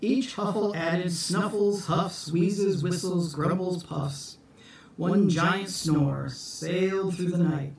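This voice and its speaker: Slow-paced middle-aged man reading a storybook. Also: high voice, gravelly. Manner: deliberate, theatrical storybook delivery. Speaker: storytime reader for schoolchildren. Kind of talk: expressive children's picture book read-aloud. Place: small room at home, indoors. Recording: echoey room, distant phone mic.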